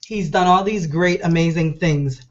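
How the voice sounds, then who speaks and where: Deep hoarse lady with a cold, rambly excited host talk, muffled low-fi sound. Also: normal speed. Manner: chatty, rambling, enthusiastic. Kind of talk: casual online show talk.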